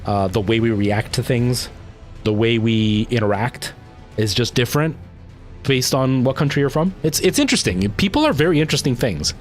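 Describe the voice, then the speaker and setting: A man with a light Canadian accent talks casually to his livestream viewers, drifting from one tangent to the next. His voice sits in an ordinary middle register. Faint music plays quietly underneath. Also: medium pitch, expressive, normal speed. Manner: rambling, goes off on tangents; responds to viewer comments.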